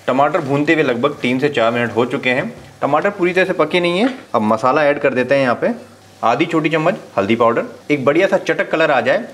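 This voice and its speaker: A man narrating a recipe step by step as a cooking show host, giving calm practical tips. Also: mid-range voice, slight background noise.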